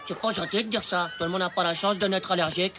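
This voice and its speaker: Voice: mid-range voice, steady tone, quick pace, natural voice. Voice actor doing a pushy little cartoon schoolboy, slangy and cheeky, music under it. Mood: pleading. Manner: brash, slangy, insistent. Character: cheeky, scheming, naive young schoolboy. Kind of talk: French animated cartoon acting.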